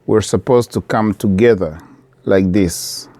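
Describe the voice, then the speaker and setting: Slow, earnest low-voiced man. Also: warm tone, no echo. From Democratic Republic of Congo. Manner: earnest, measured, repeating key phrases. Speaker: vlogger, business owner. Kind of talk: direct-to-camera appeal. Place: his shop.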